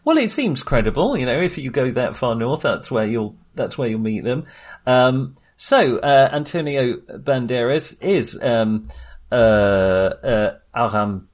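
A man hosts a casual film chat. He speaks informally and haltingly, searching for his words. His manner is self-deprecating and conversational. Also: mid-range voice, expressive. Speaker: film podcast host.

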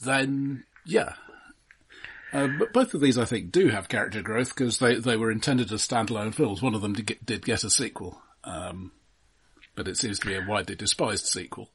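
A man's voice: lowish voice, normal speed, slightly rough. A man co-hosting a casual film discussion, speaking in an analytical, somewhat hesitant way with care over details. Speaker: podcast co-host.

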